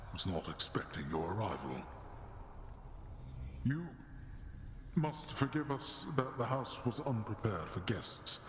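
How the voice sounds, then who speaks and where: A stern underworld king from a video game. His low voice moves slowly and gravely, with dramatic rises and falls of weary authority. A long echoing reverb surrounds it. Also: exaggerated voice. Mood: guarded formality. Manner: grave, formal, commanding. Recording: echoey hall reverb, faint noise.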